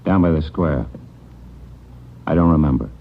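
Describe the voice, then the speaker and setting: Sneering low-voiced young American crook. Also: male voice, normal speed, vocal fry, full character voice. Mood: cocky, evasive. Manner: sneering, wise-guy, slangy. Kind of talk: 1940s radio crime drama acting. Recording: dull, noisy 1940s radio recording.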